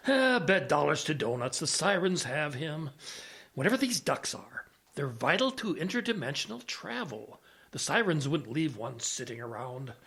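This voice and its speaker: A man acts out a blind major who dabbles in science for an audio drama. His voice is rough and husky, swinging widely in pitch. He is wry and authoritative, muttering one moment and ordering the next. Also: very animated, normal speed, fairly bright tone, full character voice. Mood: urgent.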